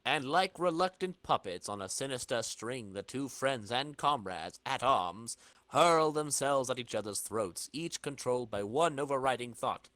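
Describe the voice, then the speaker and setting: A man's voice: medium pitch, animated, normal speed, fairly bright tone, no echo. Casting: male podcast narrator for a comic-book dramatic reading, theatrical, very varied intonation. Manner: theatrical storyteller phrasing.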